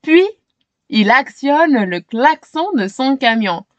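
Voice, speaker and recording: A woman reading a children's storybook aloud with expressive, dramatic narration, as if to young listeners. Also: high voice, takes it slowly, dry recording, dull audio. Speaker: storytime reader.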